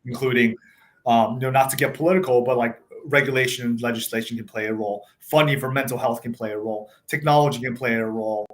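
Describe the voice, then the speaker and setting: A man in his thirties who grew up in South Africa after being born in Taiwan, speaking as a startup founder in a relaxed interview. His voice sits at an ordinary middle pitch, and he talks in a loose, conversational way, full of "um"s, "you know"s and restarted phrases. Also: fast, rough recording. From born in Taiwan, raised in South Africa. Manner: conversational, many fillers and restarts. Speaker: tech startup CEO, guest. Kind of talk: casual interview talk.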